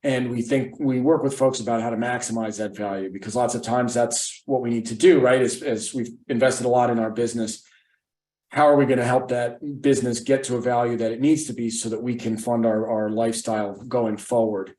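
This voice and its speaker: A man's voice: mid-range voice, steady tone, a little fast, darkish tone, echoey room. A man presenting a webinar as a financial advisor, speaking conversationally with frequent restarts.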